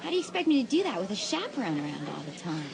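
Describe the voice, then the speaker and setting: A woman's voice: medium pitch, huge pitch swings, very fast, vocal fry, crowd noise. A woman playing a breezy, self-centred, unapologetic teenage girl in a dramatised audiobook. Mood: dismissive.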